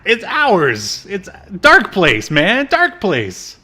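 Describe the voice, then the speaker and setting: A man's voice: high voice, wildly swooping pitch, drawn-out words, over a noisy crowd. A man co-hosting a podcast in casual chat, with a joking, teasing manner. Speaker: podcast co-host.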